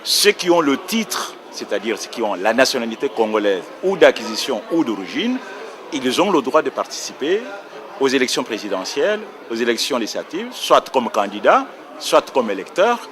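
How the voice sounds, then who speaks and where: A man speaking as a panelist, laying out a legal argument with care and precision. His pitch rises and falls a lot as he leans on key words. He sits at a middle height, neither deep nor high. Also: sing-song, normal speed, fairly bright tone. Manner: precise, lecturing, corrects himself mid-sentence. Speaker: round table panelist on nationality law. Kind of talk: explanatory legal commentary.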